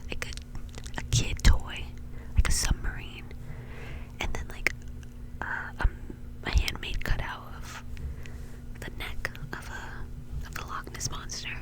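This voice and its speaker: A woman's voice: slow, pauses often, rough recording. A woman doing whispered ASMR storytelling in an informal, conversational way, close to the microphone. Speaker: ASMR video creator and host.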